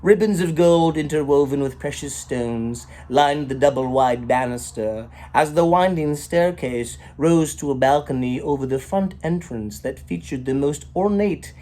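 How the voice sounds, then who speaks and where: A man reading a short story as its storyteller, in a theatrical, rhythmic manner with drawn-out emphasis and rhyming phrases. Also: on the high side, dark tone. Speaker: storyteller, author reading own work.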